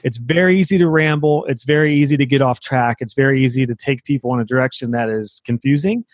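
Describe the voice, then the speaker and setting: A man's voice: medium pitch, fast, no echo, studio quality. A man who teaches web design courses, talking casually in a podcast conversation and explaining at length with fillers and restarts.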